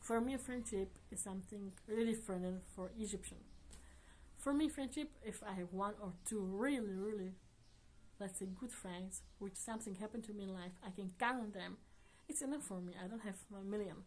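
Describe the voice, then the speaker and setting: A woman vlogger chatting casually to her viewers in earnest, non-native English, often laughing softly. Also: mid-range voice, brisk, a little hiss or hum, low-quality recording. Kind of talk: casual chat to camera.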